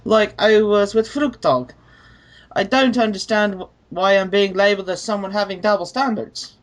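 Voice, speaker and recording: A man's voice: high voice, fairly even intonation, normal speed. A man reading online comments aloud and discussing them as a co-commentator.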